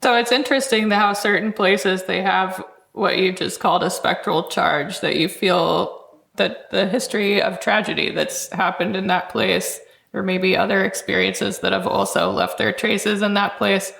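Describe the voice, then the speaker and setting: A woman's voice: medium pitch, fairly even intonation, moderate pace, creaky, fairly bright tone. A woman hosting an interview, asking thoughtful questions. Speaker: interviewer, podcast host. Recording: roomy, echoing sound.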